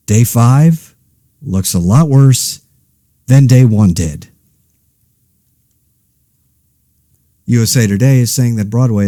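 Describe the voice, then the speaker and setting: A man's voice: lowish voice, mellow, no echo, clean studio sound. A man playing an exasperated, anxious everyman podcaster in a conversational audio drama, rambling wryly and interrupting himself. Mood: wry, frustrated. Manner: wry, rambling, self-interrupting. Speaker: host of a fictional monologue podcast.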